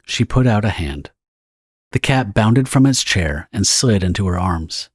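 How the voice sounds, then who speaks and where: A man reading scripted, dramatic story narration for an audio drama in a husky voice. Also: on the low side, animated, measured pace, dry recording, clean studio sound.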